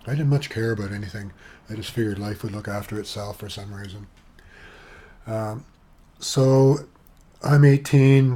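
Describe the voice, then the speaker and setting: A soft-voiced Canadian man in his seventies, sitting at his computer at home and rambling through a personal video monologue with many asides. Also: fairly low pitch, slight background noise. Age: 70s. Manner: chatty, eccentric, wandering with asides and fillers. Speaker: vlogger. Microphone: webcam with external sound gear.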